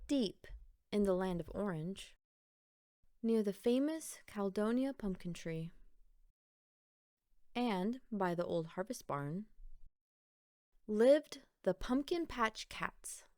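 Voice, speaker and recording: A woman narrates a picture book for children. Her delivery is unhurried and deliberate, broken into short phrases with emphatic pauses. The intonation is very lively, and the sound is dry with little room echo. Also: medium pitch. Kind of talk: expressive storybook read-aloud narration.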